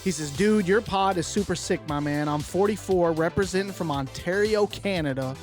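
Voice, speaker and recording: A man co-hosting a retro podcast, reading fan mail in a relaxed, slangy, joking way. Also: high voice, moderate pace, soft background music. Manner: laid-back, slangy, laughs easily. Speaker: podcast co-host.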